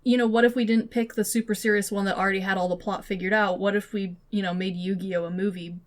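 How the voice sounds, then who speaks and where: A woman's voice: medium pitch, fairly even intonation, quick pace. A woman podcast co-host chatting casually and giving her opinions in an informal, loosely phrased way. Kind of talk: casual chat about games and movies.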